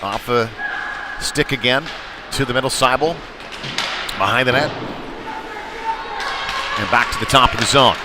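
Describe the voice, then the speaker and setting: A man's voice: mid-range voice, a little slow, pauses often, heavy background noise. A man calling live hockey play-by-play in clipped present-tense phrases that build with the action. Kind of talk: live sports commentary.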